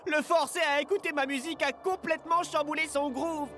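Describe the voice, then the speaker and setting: A cool, slangy cartoon athlete, speaks in a high, expressive voice. Music plays behind his lines. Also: brisk. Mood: resolved. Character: laid-back, musical, slangy male footballer. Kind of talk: animated cartoon dub.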